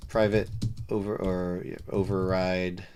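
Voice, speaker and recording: A man with a very low, soothing voice talks through his code on a live stream. He wanders, fills gaps with ums and uhs, and drops dry jokes along the way, with keys clicking behind him. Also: level tone, measured pace, music in the background. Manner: rambling, self-talk with many fillers and asides. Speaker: game developer streamer. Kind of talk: live coding stream, casual narration.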